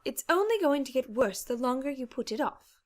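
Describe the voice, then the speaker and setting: A woman's voice: sits high, lively intonation, a little fast. A woman voicing a refined, caring, insistent female pony with a genteel, theatrical manner in an audiobook. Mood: politely advising. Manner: genteel, polite, theatrical phrasing. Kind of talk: dramatized audiobook character acting.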